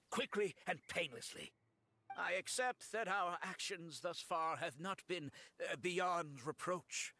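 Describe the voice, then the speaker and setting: Smug game villain: ambitious schemer, slow and mocking, with wildly swooping pitch. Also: male voice, creaky, pushed and bright, a little hiss or hum, exaggerated voice. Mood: theatrical menace. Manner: mocking, mock-polite, drawn-out dramatic delivery. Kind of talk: video game villain voice acting.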